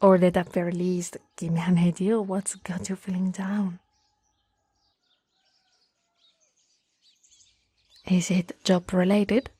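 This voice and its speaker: A woman playing a tall, teasing, caring young childhood friend in an ASMR roleplay, with a soft, breathy, slightly husky voice and a gently coaxing manner. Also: fairly low pitch, flat delivery, dry recording. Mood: relieved, caring. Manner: slow, playful, gently coaxing.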